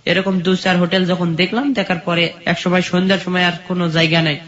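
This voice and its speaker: Male radio guest, Bangladeshi accent, higher-pitched voice, colloquial personal storytelling, dull lo-fi audio. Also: steady tone, fairly quick, fairly bright tone. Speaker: guest telling his life story.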